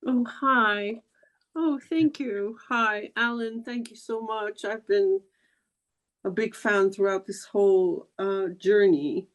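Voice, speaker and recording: A woman from Argentina on a video call, speaking earnestly and haltingly with many pauses and fillers. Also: mid-range voice, steady tone. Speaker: audience member on a video call. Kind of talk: casual chat.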